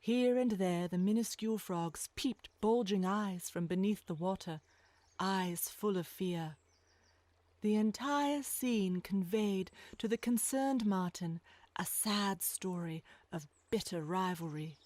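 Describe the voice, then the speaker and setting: Casting: woman to narrate an animal tale, medium pitch, storybook delivery, dry studio-like sound. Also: slow, darkish tone, no room sound.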